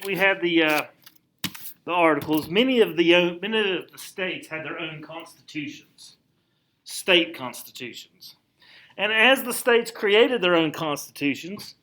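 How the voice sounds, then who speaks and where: A man teaching a high school history class in a casual lecture style, repeating key points and checking in with the class. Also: pushed and bright, roomy sound, poor audio. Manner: conversational, repeats key points, asks class if it makes sense.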